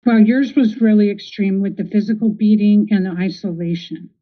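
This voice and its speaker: A woman's voice: medium pitch, fairly even intonation, normal speed. A woman hosting a podcast, interviewing casually with supportive affirmations. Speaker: podcast host. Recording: tinny phone-like audio.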